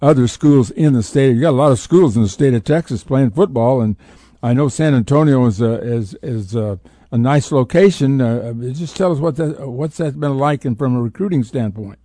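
A man's voice: medium pitch, moderate pace, mellow, dry recording. A man co-hosting sports talk radio, asking a guest casual questions.

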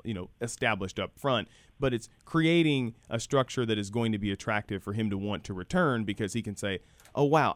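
A man's voice: lowish voice, no room sound. A man speaking as a guest in a live radio interview, explaining things conversationally, often checking with "right?" and voicing imagined dialogue to make a point. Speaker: radio show guest, university program director.

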